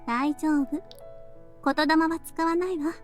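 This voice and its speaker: A woman's voice: high-pitched, steady tone, normal speed, lightly acted. A woman voice-acting a sly, teasing and perceptive younger sister in a Japanese visual novel. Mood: calm, knowing. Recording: game audio with music behind.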